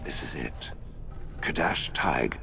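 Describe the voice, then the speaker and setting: An actor voicing a haughty, sardonic ancient stone golem in a video game, with stilted, condescending, deliberate phrasing. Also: male voice, a bass voice, animated, vocal fry, music in the background, full character voice. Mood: musing. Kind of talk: dramatic video game acting.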